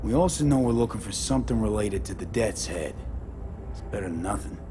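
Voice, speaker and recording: An actor playing a grim but loyal street mercenary in a video game. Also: male voice, lowish voice, lively intonation, brisk, background noise, lightly acted. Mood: steady, reassuring. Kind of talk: video game dialogue acting.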